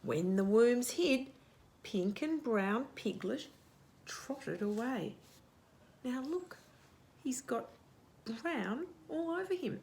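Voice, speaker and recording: Animated woman telling a children's story slowly. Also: fairly high pitch. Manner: animated, expressive, asks questions and pauses for answers. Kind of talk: read-aloud picture-book storytelling with chatty asides to young children.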